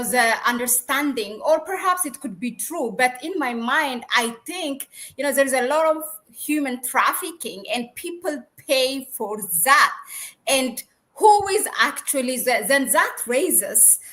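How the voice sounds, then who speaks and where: High-voiced woman hosting an interview. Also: pushed and bright. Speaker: interview host. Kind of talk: discussion interview with long framed questions.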